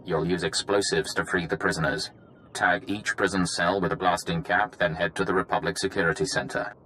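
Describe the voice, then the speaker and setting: An actor playing a businesslike infiltration operative who gives a brisk, matter-of-fact recorded briefing in a video game. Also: male voice, low voice, fairly even intonation, pushed and bright, a little hiss or hum, rough recording.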